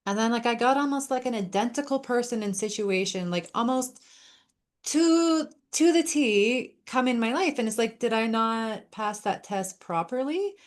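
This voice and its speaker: A woman hosting a podcast, speaking in a relaxed, reflective tone in a casual interview. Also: fairly high pitch, normal speed. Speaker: podcast host.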